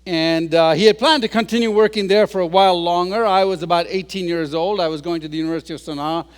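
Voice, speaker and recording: Man in his 60s, high voice, joking storyteller, self-deprecating, long hall reverb. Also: steady tone, fast, clear, resonant tone. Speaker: guest speaker. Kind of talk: humorous public talk.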